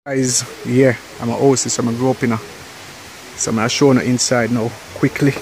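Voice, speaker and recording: A man's voice: mid-range voice, level tone, normal speed, a little mellow. A young Jamaican man vlogging on a rural road into a handheld phone, chatty and calling his viewers peeps and guys. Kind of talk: walking vlog and street interview. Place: outdoors on rural hillside road. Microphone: handheld phone camera. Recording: outdoor phone audio with clear background noise.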